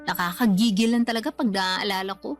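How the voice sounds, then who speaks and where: A woman's voice: medium pitch, a little fast, soft background music, lightly acted. An actress playing a worn-out, bitter young single mother in a radio drama, speaking in a plaintive, complaining way. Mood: bitter, frustrated. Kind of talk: Tagalog radio drama acting.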